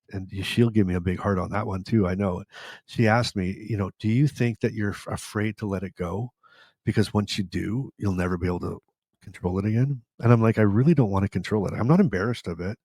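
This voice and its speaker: An older man hosting a live question-and-answer podcast, rambling and talking straight to his listeners, his voice a little tired and raspy from a long day of talking. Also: fairly low pitch, dry recording. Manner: rambling, warm-hearted, talks straight to viewers. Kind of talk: live Q&A chat. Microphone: studio microphone with headphones.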